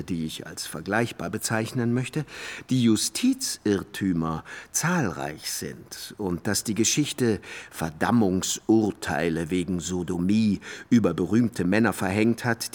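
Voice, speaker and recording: A learned, courteous pedant in a literary radio play. His lowish voice swings very widely in pitch as he stretches words out with ponderous, pompous emphasis. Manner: pompous, deliberate, drawn-out emphasis. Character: pedantic, erudite male academic, ponderous and courteous. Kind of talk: German literary radio drama acting.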